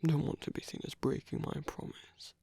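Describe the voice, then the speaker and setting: A man playing a suave, sly aristocratic villain in an ASMR roleplay, speaking in a soft, breathy, often near-whispered voice with languid, teasing politeness and veiled menace. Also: normal speed, no echo, studio quality, natural voice. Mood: feigned polite boredom. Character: suave sly aristocratic villain, flirting and secretly scheming at a masquerade. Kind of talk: ASMR roleplay, intimate scripted acting.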